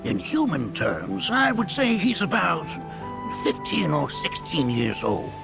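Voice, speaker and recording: A man's voice: fairly high pitch, wildly swooping pitch, slow, gravelly, over music, full character voice. A man playing a jovial, kindly old sage in a video game, talking in a grandfatherly way. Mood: amused, kindly. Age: older. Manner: chuckling, grandfatherly.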